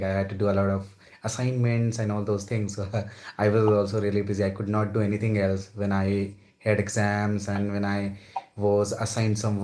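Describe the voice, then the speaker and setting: A young Indian man with an Indian accent speaks in a somewhat low voice and keeps the chat easy and friendly. He often fills his sentences with "like" and tags them with "right?". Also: dark tone. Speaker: conversation partner. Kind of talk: casual conversation practice.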